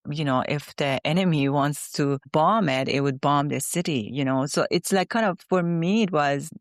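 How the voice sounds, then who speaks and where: A woman, speaking as an interview guest in a reflective, halting way. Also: deep voice, dry recording, studio quality. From Iran. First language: Farsi. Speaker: guest psychotherapist. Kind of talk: conversational interview.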